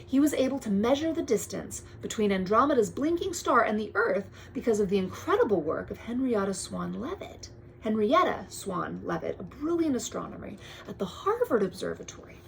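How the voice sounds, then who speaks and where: Animated woman reading aloud to a child. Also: mid-range voice, fairly quick, gravelly, slight background noise, low-quality recording. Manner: expressive, wonder-filled, often dropping to whispers. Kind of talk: children's read-aloud with chatty asides.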